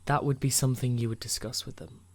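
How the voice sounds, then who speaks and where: A man's voice: medium pitch, steady tone, fast, natural voice. A man playing a supportive, loyal companion in a naturalistic radio play. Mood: gentle reassurance. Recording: outdoor ambience with birdsong, noisy.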